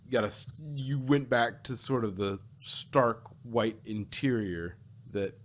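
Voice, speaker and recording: A man co-hosting a casual fan discussion, talking in halting phrases with a joking bent. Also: medium pitch. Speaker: podcast co-host.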